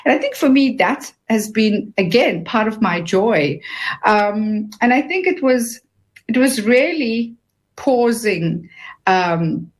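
A woman's voice: a little high, expressive, slow pace. A woman in her fifties, an author being interviewed, speaking earnestly and reflectively, often restarting her sentences as she searches for words. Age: 50s. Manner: describes herself as having a loud voice. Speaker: author and guest.

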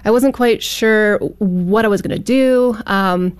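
A woman's voice: medium pitch, unhurried, clear, resonant tone. A Canadian woman speaking as an interview guest, telling personal stories in a conversational way. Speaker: guest, teacher and author.

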